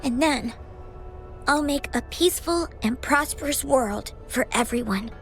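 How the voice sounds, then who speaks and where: A woman's voice: high voice, unhurried, soft background music. A woman playing an earnest, hesitant little orphan girl with fire powers in a video game. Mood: earnest, determined. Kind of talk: scripted video game voice acting.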